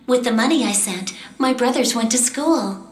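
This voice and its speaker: High-voiced earnest young magician played by a woman, echoey. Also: normal speed, a little hiss or hum, close to an everyday voice. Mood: wistful, reflective. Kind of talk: English-dubbed fantasy game cutscene acting and narration. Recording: roomy echo, game music behind.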